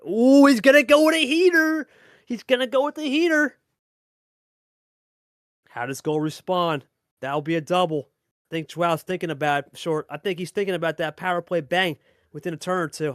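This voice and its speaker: A man's voice: fairly high pitch, a bit bright, dry recording, clean studio sound. A man hosting and commentating on a card game match, hyping it up and repeating phrases for emphasis. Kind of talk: live play-by-play commentary and player interviews.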